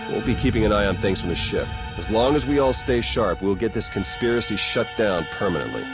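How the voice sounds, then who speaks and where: A confident male game spy in his thirties. His voice is low and his delivery dry and casual, with prominent music behind him. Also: lively intonation, a little fast. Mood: businesslike briefing. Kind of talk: video game dialogue acting. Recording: loud soundtrack music under dialogue.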